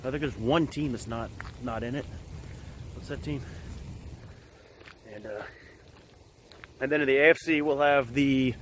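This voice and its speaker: Hoarse man rambling outdoors. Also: mid-range voice, a little hiss or hum. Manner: rambling, halting with many fillers. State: hoarse from a cold. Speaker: vlogger giving football picks. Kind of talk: casual outdoor vlog chat. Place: outdoors at an empty field in winter.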